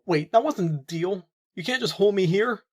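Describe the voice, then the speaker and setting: A man's voice: high voice, expressive, normal speed. A man playing a young captive mafia informant bargaining for his freedom in an audio roleplay, earnest and explanatory. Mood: alarmed protest.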